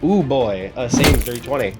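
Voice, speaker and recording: A man's voice: mid-range voice, normal speed. A man casually explaining his moves as a player in a tabletop game, with music under his voice. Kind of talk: casual tabletop play talk.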